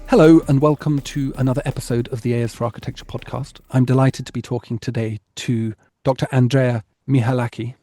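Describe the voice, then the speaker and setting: A man's voice: medium pitch, mellow, dry recording, studio quality. A man hosting a podcast, speaking in articulate, formal phrases as he introduces and interviews.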